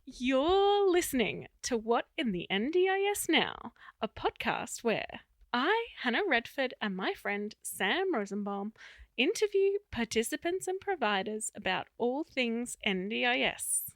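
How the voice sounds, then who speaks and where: A woman's voice: a little high, big pitch swings, a little slow, no echo, studio quality. A woman hosting a podcast in a friendly, upbeat way. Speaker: podcast host. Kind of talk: casual interview hosting.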